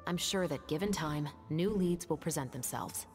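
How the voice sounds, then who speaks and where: An actress playing a calm, methodical detective captain who speaks in a measured, serious way. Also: female voice, low voice, over music, natural voice. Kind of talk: video game voice acting.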